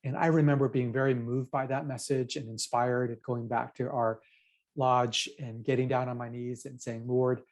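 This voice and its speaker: Middle-aged pastor telling his story, measured. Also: medium pitch, flat delivery. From Japan. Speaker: pastor and author. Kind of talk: storytelling interview answers.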